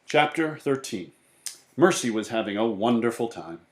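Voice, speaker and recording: A man reads a children's story aloud in a roomy, echoey space. His mid-range voice moves up and down in lively, animated narration. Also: mid-range voice, normal speed. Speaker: storybook reader.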